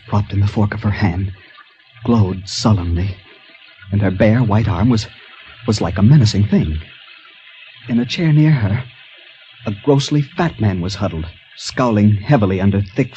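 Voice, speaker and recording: An actor playing a polite, nervous young tourist in a radio drama, hesitant and well-mannered, on a lo-fi recording. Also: male voice, lowish voice, warm tone, lightly acted. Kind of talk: radio drama acting, with first-person narration. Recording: dull, lo-fi old radio recording.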